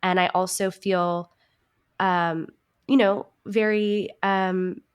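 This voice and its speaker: A woman's voice: mid-range voice, flat delivery, slow pace, clear, resonant tone. A woman speaking as an interview guest, reflective and casual, with an earnest, self-assured manner. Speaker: podcast guest, actor and writer.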